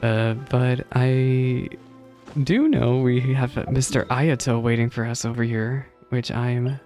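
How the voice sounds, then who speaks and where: Very low-voiced woman streamer. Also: slow. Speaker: streamer reacting to a game. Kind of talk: casual live reaction commentary. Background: soft game music.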